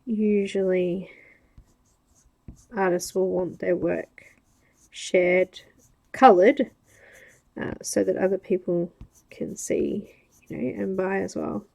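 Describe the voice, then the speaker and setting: An Australian woman talks through her nose in a slow, unhurried way, with some gravel in her voice. She chats casually to viewers as she colors, pausing often to think aloud. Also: medium pitch, fairly even intonation, darkish tone, rough recording. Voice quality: nasal. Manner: chatty, thinks aloud with pauses. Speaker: livestream coloring host. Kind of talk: casual chat while coloring.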